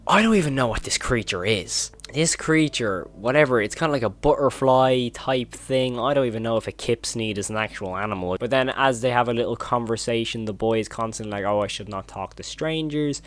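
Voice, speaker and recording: A man's voice: medium pitch, fairly quick, hardly any pauses. A man hosting a horror video commentary, retelling and reacting in an informal, emphatic way.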